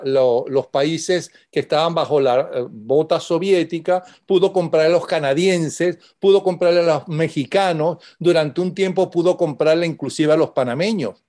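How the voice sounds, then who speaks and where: Brisk male interview host. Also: on the high side, a little mellow. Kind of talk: interview conversation.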